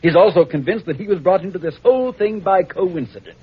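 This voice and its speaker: A cold, scheming villain from an old radio thriller. His voice is fairly high and very husky, with smug, calculating menace. The vintage recording sounds muffled. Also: animated, a little fast, full character voice. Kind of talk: vintage radio melodrama acting.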